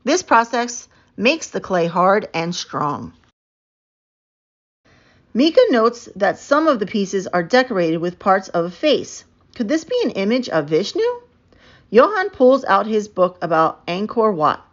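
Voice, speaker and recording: Female storybook narrator, highly animated and emphatic, medium pitch, muffled lo-fi sound. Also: a little slow. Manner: animated, emphatic storytelling with stretched key words. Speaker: read-aloud narrator. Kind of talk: expressive children's book read-aloud.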